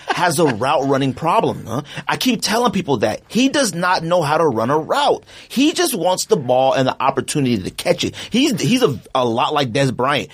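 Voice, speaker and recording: A man's voice: on the high side, slightly rough. A man co-hosting a podcast, ranting in a loose, slangy, rambling way about a football team's season. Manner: animated, rambling, slangy rant. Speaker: podcast co-host. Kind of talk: casual sports chat.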